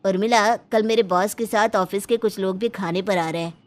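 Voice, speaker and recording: A woman's voice: medium pitch, normal speed, natural voice. A woman voicing a blunt, matter-of-fact husband and office worker in a cartoon story. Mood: urgent, insistent. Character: blunt adult husband, office worker who praises his sister-in-law.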